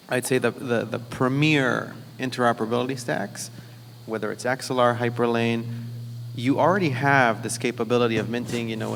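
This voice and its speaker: A man's voice: mid-range voice, creaky, fairly bright tone, long reverb tail, slight background noise. A man speaking as a panelist at a conference, explaining technical ideas in a chatty way with many fillers. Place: conference hall.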